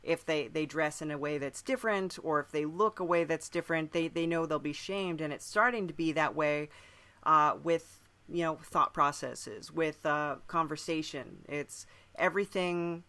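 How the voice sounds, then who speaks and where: Woman on a podcast thinking out loud, crisp bright sound, chatty and thoughtful. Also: low voice, moderate pace. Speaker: podcast speaker. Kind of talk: conversational podcast discussion.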